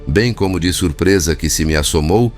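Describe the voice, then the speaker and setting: A man reading scripted audiobook narration in a formal, measured way. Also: low-pitched. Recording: music bed behind narration.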